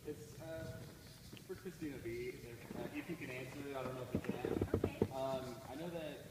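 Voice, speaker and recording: Distant, halting man in a noisy hall. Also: medium pitch. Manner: halting, tentative. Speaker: audience questioner. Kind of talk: hesitant casual question. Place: audience of a convention panel room.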